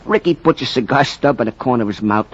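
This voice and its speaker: An actor playing a slangy, folksy baseball fan who narrates theatrically in a 1940s radio drama. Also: male voice, mid-range voice, lively intonation, quick pace, slightly rough. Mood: wry, colorful. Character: slangy, colorful storyteller, wisecracking baseball fan. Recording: dull, faintly noisy 1940s radio recording.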